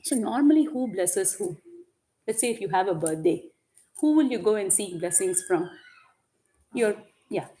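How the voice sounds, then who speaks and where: A woman's voice: medium pitch, lively intonation, moderate pace, pauses often, mellow. Tamil-speaking woman giving a religious class in english, asks questions then answers them, drops in tamil and sanskrit words. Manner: explanatory, asks and answers her own questions; mixes in Tamil and Sanskrit terms. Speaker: religious teacher. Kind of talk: devotional lecture to a group.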